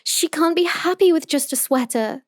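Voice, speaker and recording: A woman playing an obsessive, possessive young yandere in an intimate roleplay audio monologue, telling her story with deliberate emotional intensity. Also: high voice, dry recording, studio quality, lightly acted. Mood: sweetly wistful.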